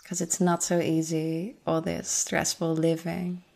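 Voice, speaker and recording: Very slow, low-voiced woman guiding meditation. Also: completely flat delivery, gravelly. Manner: soothing, gentle, unhurried with long pauses. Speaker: guided meditation narrator. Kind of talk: guided meditation. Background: forest nature sounds with birds.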